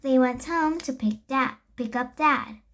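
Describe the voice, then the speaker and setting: A young girl reading a children's story aloud, haltingly, with stumbles and self-corrections. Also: high-pitched, fairly bright tone. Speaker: storybook reader.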